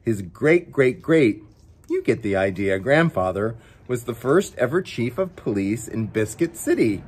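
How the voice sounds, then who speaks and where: A man's voice: mid-range voice, sing-song, unhurried, a little mellow, breathy edge. A man reading a picture book aloud to children, playfully coaxing them and chatting directly to them between passages. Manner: playful, coaxing, talks directly to young viewers. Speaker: story time host reading to children.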